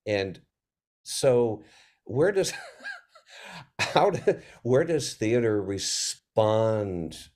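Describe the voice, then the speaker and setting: A man with a lowish voice reflects in a panel discussion on a video call. He speaks very slowly and haltingly, thinking aloud and posing rhetorical questions. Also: sing-song, darkish tone. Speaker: theater panelist.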